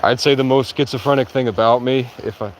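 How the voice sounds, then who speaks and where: A sleep-deprived man livestreaming outdoors in a rural area, rambling in a halting way with many restarts. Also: mid-range voice, steady tone, a little hiss or hum. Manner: halting, restarts often, heavy use of "like". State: sleep-deprived after hours of talking. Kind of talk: rambling casual monologue.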